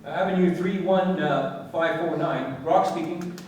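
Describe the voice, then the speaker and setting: An actor playing an eager, businesslike insurance assessor in a radio crime play, with clipped, reassuring replies. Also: male voice, a little high, a little slow, close to an everyday voice. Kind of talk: radio crime drama acting. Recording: echoey, distant, clearly noisy recording.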